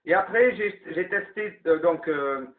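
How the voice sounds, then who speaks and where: A man's voice: a little high, unhurried. A man chatting casually over CB radio, explaining things and repeating himself as he runs the round. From southwest France. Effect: CB radio transmission. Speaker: amateur CB radio operator running the evening round. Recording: narrow tinny CB radio signal.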